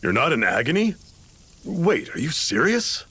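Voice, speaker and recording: An actor playing a resolute resistance fighter in a video game, articulate and authoritative. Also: male voice, fairly high pitch, very animated, measured pace, pushed and bright, husky, full character voice. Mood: concerned, wondering. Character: grave, resolute male resistance fighter leading his comrades. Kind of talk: dramatic video game voice acting.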